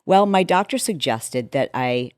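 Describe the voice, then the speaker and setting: A woman in her fifties with a deepened, slightly hoarse voice, chatting casually and candidly to viewers as a health show host explaining her routine. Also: expressive, unhurried, darkish tone, no room sound, clean studio sound. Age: 50s. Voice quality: deepened, slightly hoarse voice from a vocal cord injury. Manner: candid, conversational, with acted-out asides.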